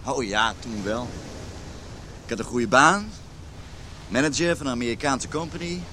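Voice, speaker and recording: A man's voice: mid-range voice, moderate pace, sharp tone, slight background noise, lightly acted. An actor playing a disillusioned, self-pitying married man in his thirties in a naturalistic radio drama. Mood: rueful.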